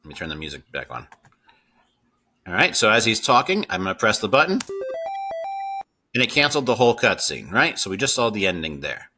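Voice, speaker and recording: A man's voice: on the low side, very animated, brisk, long pauses, bright, forceful tone, faint music behind. A middle-aged man hosting a casual screen-share coding tutorial. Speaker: tutorial host.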